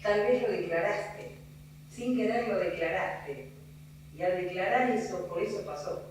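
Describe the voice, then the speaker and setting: A man's voice: sits high, measured pace. A man in the audience cutting in with a comment to the speaker. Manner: interjects from the audience. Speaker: audience member. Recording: distant, echoey hall with faint hum.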